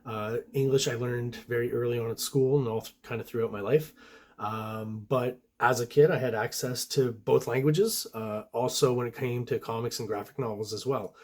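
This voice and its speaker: A man's voice: mid-range voice, fairly even intonation, normal speed, a little mellow. A man from Quebec whose first language is French, talking casually as he reviews comics. He rambles a little, piling on fillers and side remarks, in an easy mid-range voice. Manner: rambling, many fillers and asides. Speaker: host and comic artist.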